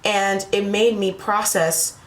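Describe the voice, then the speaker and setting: A woman's voice: mid-range voice, steady tone, slow. Tarot reader losing her voice, echoey room. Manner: rambling, repeats "right?" and "you know?" to the viewer. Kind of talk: casual spiritual tarot reading to camera. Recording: echoey room with faint ambience.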